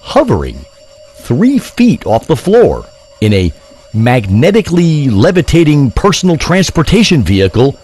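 A man's voice: on the high side, huge pitch swings, a little slow, a little mellow, breathy edge, soft background music. A man narrating a scripted comic story in a theatrical audio drama style.

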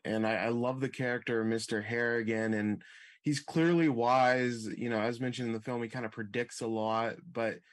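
A man's voice: medium pitch, dry recording, studio quality. A man working as an entertainment journalist, asking conversational interview questions.

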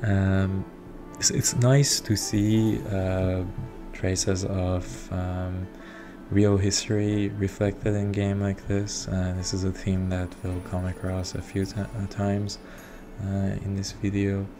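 A man's voice: deep voice, flat delivery, slow. A man whose first language is Persian, talking through a strategy game in hesitant commentary full of ums and uhs over background music. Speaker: strategy game video reviewer. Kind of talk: unscripted gameplay commentary.

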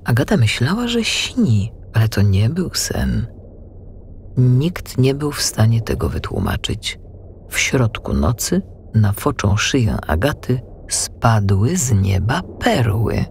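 A man narrating a children's storybook in an expressive, unhurried storytelling manner. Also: mid-range voice, gravelly, slight background noise. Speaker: audiobook narrator.

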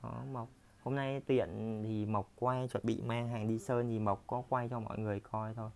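A man's voice: medium pitch, level tone, moderate pace, vocal fry, a little mellow. A man giving a chatty, repetitive sales walkthrough of furniture in his workshop, filmed on a handheld phone. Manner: chatty, repetitive, filler-heavy.

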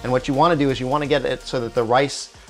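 A man in his forties hosts a cooking demonstration in a home kitchen, speaking on a lapel mic. His voice sits in a middle pitch, and he explains each step calmly with small restarts. Faint music and a sizzling wok run underneath. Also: fast talker. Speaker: cooking show host. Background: soft music and sizzling wok.

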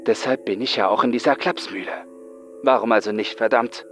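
A man voicing a frightened, bitter young psychiatric patient in a horror audiobook, speaking intensely with dramatic pauses. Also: mid-range voice, soft background music, pitched above the performer's own voice, natural voice. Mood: defeated resignation.

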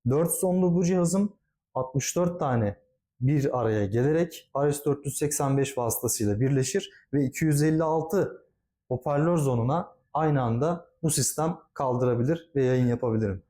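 A man's voice: mid-range voice, normal speed, warm tone. A man giving a product demonstration as a company presenter, speaking politely and formally, explaining things step by step.

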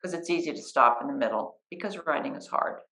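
A woman's voice: deep voice, expressive, quick pace, sharp tone, low-quality recording. A middle-aged woman, a writing coach and editor, patiently explaining the steps of her work in a conversational interview. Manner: patient, practical, walks through steps. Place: living room, sitting on a couch beside a guest.

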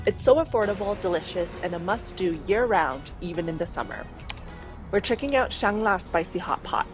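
A woman's voice: on the low side, lively intonation, normal speed. A woman narrating a travel vlog voice-over in an informative, upbeat tour-guide manner. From Taiwan. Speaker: travel vlogger. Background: faint background music.

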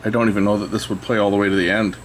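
Man rambling over clear background noise. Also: lowish voice, level tone, very fast. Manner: rambling, thinking aloud. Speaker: host showing old records. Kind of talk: casual hands-on commentary. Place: indoors at a cluttered electronics workbench.

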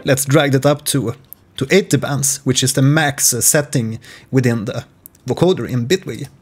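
A man in his forties giving an informal, measured, instructional screen-share tutorial on music software from a small home studio. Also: mid-range voice.

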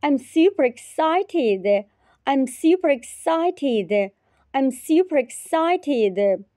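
A woman teacher repeating short phrases slowly and carefully in a repetition drill. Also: high voice, animated, dark tone, dry recording, clean studio sound. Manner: repeats each phrase three times, carefully.

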